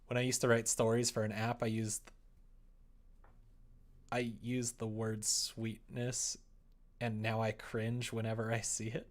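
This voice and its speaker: A man's voice: on the low side, monotone, measured pace, long pauses. A young man streaming, talking casually and playfully in a jokey way as he comments and reads aloud. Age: young adult. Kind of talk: casual livestream chat and reading aloud.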